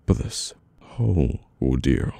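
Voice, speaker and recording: An extremely deep, gravelly British mafia boss, acted by a man for an audio roleplay. He is cold, dominant and menacing, giving orders slowly with long deliberate pauses. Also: lively intonation, warm tone, no echo, exaggerated voice. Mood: stern, angry. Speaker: voice actor. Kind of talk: ASMR audio roleplay, slow dramatic monologue.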